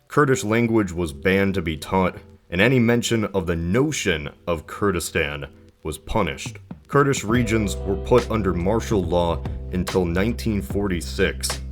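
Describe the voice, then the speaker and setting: A man narrating a scripted explainer video, with dry emphatic phrasing and conversational asides. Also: sits low.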